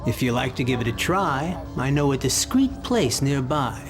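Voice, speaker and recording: An actor playing an older, genial and courteous arms dealer in a video game cutscene. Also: male voice, medium pitch, lively intonation, normal speed, music in the background, lightly acted.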